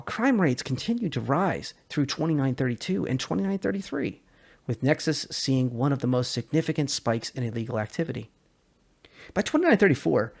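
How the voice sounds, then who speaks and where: Male narrator for sci-fi lore read, husky tone, emphatic and theatrical, widely varied intonation. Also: on the high side, a little mellow. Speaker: narrator, lore video creator. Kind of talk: dramatic reading of science fiction lore.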